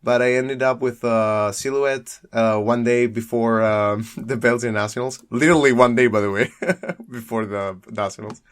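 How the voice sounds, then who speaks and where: A young man from Greece, speaking at an ordinary mid pitch. He rambles through his explanation, restarting sentences and breaking into laughter as he goes. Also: steady tone, moderate pace, clean tone. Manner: rambling, self-correcting, laughs often. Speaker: guest deck builder. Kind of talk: casual chat about a card game.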